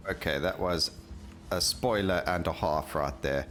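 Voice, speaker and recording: A man's voice: low voice, a little slow, fairly bright tone, soft background music. A man giving casual gameplay commentary as the host of a gaming video.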